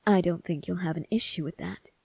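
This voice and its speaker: A woman playing a cunning, self-assured young prince in an ASMR roleplay, speaking in a measured, formal, teasing way. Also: lowish voice, creaky, a little mellow, slightly rough, no room sound. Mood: smug, composed.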